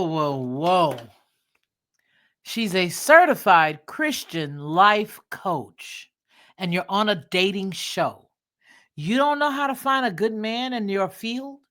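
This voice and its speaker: Sassy, slow-talking woman commentator. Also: medium pitch, a bit bright. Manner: sassy, incredulous, blunt. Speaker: reaction commentator. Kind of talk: mocking reaction commentary.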